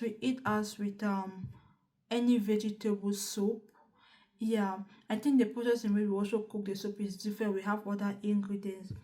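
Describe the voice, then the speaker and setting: A woman's voice: medium pitch, dark tone. A young woman from northern Cameroon talking casually to the camera in a chatty, repetitive way, restarting her sentences often. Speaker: vlogger.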